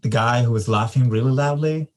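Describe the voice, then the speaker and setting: An actor at a table read playing a shy, gentle young student in a hesitant, understated way. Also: male voice, medium pitch, fairly quick, close to an everyday voice. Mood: hesitant, friendly. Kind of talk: screenplay table read, naturalistic dialogue.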